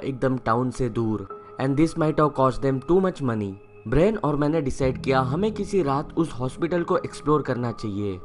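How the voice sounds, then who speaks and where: A young man narrating a first-person horror story in a steady storytelling voice, slipping English phrases into his telling, and closing as the channel's host. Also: mid-range voice.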